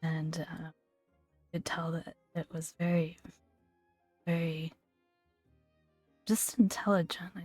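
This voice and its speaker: A woman hosting a soft-spoken ASMR gaming video, talking gently and fondly in a hushed voice that often slips toward a whisper. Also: low-pitched, flat delivery, slow pace, pauses often, no echo, faint music behind. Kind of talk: soft-spoken ASMR, relaxed commentary and read-aloud entries.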